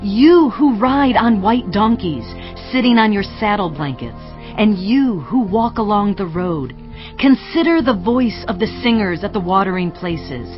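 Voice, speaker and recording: A woman's voice: medium pitch, lively intonation, measured pace, muffled recording, close to an everyday voice. An actress playing an authoritative, solemn female prophet and leader, declaiming in a dramatized audio Bible. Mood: exalted, declaiming. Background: music.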